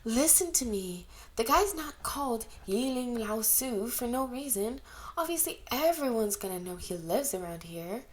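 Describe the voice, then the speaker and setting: A pushy young woman from a storybook, acted by a woman reader. She speaks in a raised pitch, chiding and coaxing with a teasing edge. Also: lively intonation, unhurried. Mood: confident, chatty. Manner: chiding, cajoling.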